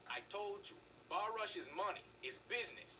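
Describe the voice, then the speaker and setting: An actor playing a menacing, cocky young street thug in a video game, bullying and slangy. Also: male voice, high voice, expressive, normal speed, sharp tone, distant mic, a little hiss or hum, full character voice.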